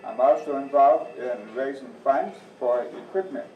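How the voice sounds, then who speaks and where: Man in his 60s, softened Midwestern accent, folksy with dry asides; distant, muffled church-hall sound. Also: mid-range voice, measured pace, a little hiss or hum. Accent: softened Midwestern American. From Northeast Iowa, USA. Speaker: agriculture teacher and farm advisor. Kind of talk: presentation to a church audience. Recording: distant, echoey, muffled room recording.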